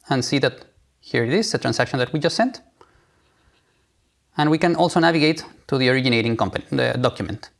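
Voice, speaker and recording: A man presenting a live software demo, explaining it informally step by step. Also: a little high.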